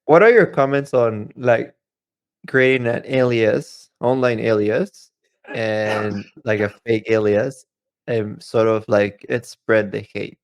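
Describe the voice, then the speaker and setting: A man co-hosting a podcast in casual chat, talking haltingly as he searches for his words. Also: on the low side, steady tone, no room sound. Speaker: podcast co-host.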